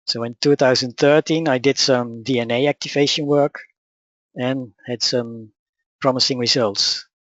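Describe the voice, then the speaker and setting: A man with a Dutch accent speaks in a calm, measured, matter-of-fact way, his first language clearly Dutch. His voice sits at an ordinary middle pitch. The recording is dry and a little dull, as if made on modest equipment. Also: no echo. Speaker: spiritual teacher. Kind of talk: personal account and teaching talk.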